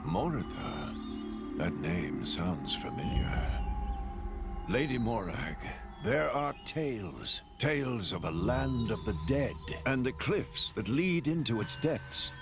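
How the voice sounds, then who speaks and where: A man's voice: medium pitch, very animated, takes it slowly. A man playing a grave, theatrical adventurer in a video game, speaking portentously. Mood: ominous. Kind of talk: dramatic video game cutscene acting. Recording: loud music behind. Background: game soundtrack music.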